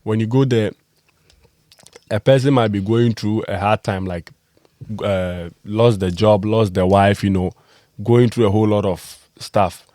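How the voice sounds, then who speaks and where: A lower-voiced man from Ghana hosts a casual podcast, moving the discussion forward and prompting his guests. His voice sits below the middle range. The recording is dry and close. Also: measured pace, dark tone, no echo. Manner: steering the talk, with frequent affirming murmurs. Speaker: podcast host.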